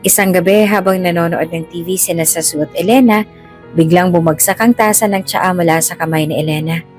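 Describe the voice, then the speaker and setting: A woman's voice: on the low side, brisk, darkish tone. Woman narrating drama over music. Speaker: storyteller.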